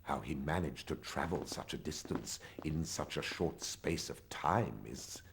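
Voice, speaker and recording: A man playing a sardonic, suspicious schoolmaster in a video game, with a deliberate drawl. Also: a bass voice. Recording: clear background noise.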